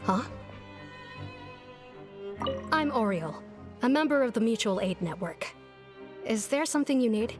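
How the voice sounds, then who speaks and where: Woman as a higher-voiced, friendly prisoner. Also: expressive, a little fast, over music, lightly acted. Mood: friendly, thoughtful. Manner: casual, explanatory. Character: friendly female prisoner, admiring aid group member. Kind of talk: video game voice acting.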